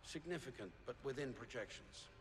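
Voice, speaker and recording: A man's voice: medium pitch, lightly acted. A man playing a composed, dutiful corporate subordinate giving a clinical report in a video game cutscene, in long reverb. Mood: grave, measured. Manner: measured, clinical.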